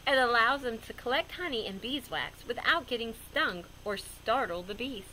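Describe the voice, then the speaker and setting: A woman's voice: fairly high pitch, lively intonation, moderate pace, pushed and bright, a little hiss or hum, poor audio. A woman reading a picture book aloud to children, expressively, with simple explanatory asides. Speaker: read-aloud storyteller for children.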